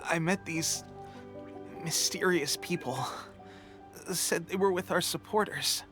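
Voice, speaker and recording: An actor playing a young male resistance soldier, weak and coughing, talking in an earnest, halting way. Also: on the high side. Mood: weary. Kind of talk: game voice acting. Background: game music.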